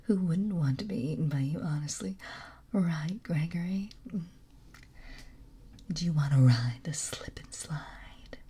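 A woman with a very breathy, husky whisper that sits deep and raspy. She speaks slowly and gently in a close ASMR stream, giggling often between lines. Her tone is soft and mellow.

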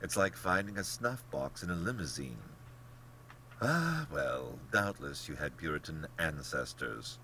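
Pompous, wildly swooping aristocratic general. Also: male voice, very deep voice, big pitch swings, unhurried, sharp tone, slight background noise, heavily acted. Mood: amused, condescending. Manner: blandly polite, pompous, theatrically emphatic. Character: genteel, smug, cold-blooded aristocratic general who hunts men. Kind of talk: dramatic audiobook character acting.

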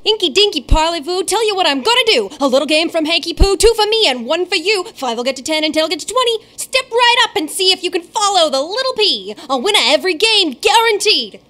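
A woman voicing a scruffy young runaway boy running a street con in a radio play, with quick sing-song hawker patter. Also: very high voice, big pitch swings, sharp tone, big-room sound, full character voice. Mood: hawking.

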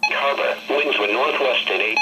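A man's voice: medium pitch, normal speed, very noisy. A man's synthetic voice reading an automated weather forecast through a small radio speaker. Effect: played through a small radio speaker.

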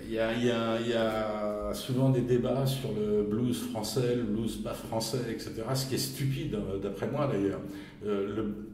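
A man's voice: lowish voice, fairly even intonation, normal speed, darkish tone. A man working as a radio interviewer, chatting casually with his guests. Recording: very echoey, slightly distant, background noise.

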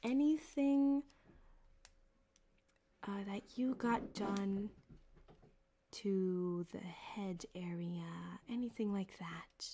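A flirtatious yandere cyberpunk ripperdoc acted by a woman for an ASMR roleplay. She speaks softly and very slowly at a medium pitch, often sinking into a whisper. Her halting, coy delivery is sweet with a sadistic menace underneath, right up close to the listener. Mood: coy, clinical. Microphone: close binaural microphone.

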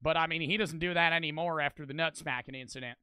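A man's voice: a little high, fairly even intonation, fast talker, pushed and bright, slight background noise. A man voicing a gossipy backwoods Southern man with a put-on drawling accent, rambling with lots of fillers. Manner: rambling, hesitant, full of fillers.

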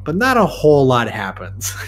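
A man's voice: medium pitch, huge pitch swings, moderate pace. A man co-hosting a casual discussion, explaining things in an informal way. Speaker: co-host of a horror discussion show. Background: music behind the voice.

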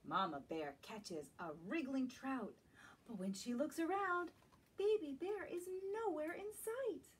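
Woman, forties, high voice, very animated pitch, children's storytime reading, recorded from a little distance. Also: slight background noise. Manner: slow, questioning asides to young listeners. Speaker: library storytime reader. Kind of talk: expressive picture-book reading for children. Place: small indoor room lined with bookshelves. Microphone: camera a few metres away. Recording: distant camera mic in a room.

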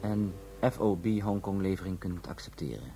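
Deep-voiced, courteous drug supplier. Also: male voice, expressive, music in the background. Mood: businesslike. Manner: formal, measured, polite. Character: courteous, guarded foreign drug supplier, a man. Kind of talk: Dutch radio play acting. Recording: noisy radio drama recording.

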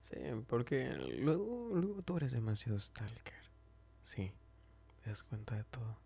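A man playing a smitten, tender and teasing best friend in an ASMR roleplay, speaking softly and hesitantly, often whispering and repeating himself. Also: medium pitch, big pitch swings, measured pace, exaggerated voice. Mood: gently teasing. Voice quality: soft, breathy, often whispering. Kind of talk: ASMR roleplay, intimate chat.

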